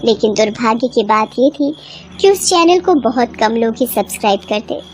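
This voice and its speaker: A woman's voice: on the high side, lively intonation, moderate pace. A woman storyteller reading a scripted horror bedtime story. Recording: clear background ambience noise.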